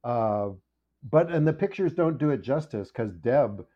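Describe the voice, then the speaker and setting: A man's voice: mid-range voice, animated, a little slow, lo-fi sound. A man chatting casually and playfully as a podcast guest, with a joking manner. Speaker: podcast guest, children's book author. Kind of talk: casual chat.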